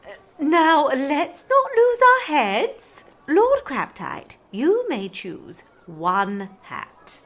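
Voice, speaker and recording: An actress playing a bossy yet encouraging, excitable castle organiser in an audio comedy. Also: female voice, high-pitched, extremely slow, soft background music, exaggerated voice. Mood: coaxing, exasperated. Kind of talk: audio comedy drama acting.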